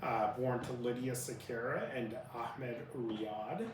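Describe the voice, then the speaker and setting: A man's voice: medium pitch, steady tone, slow. A man in his thirties from Iraq who grew up in Utah, a native Arabic speaker answering interview questions conversationally. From born in Iraq, grew up in Utah. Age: 30s. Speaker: interview guest, family lawyer. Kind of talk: conversational life-story interview. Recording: roomy, distant mic with faint noise.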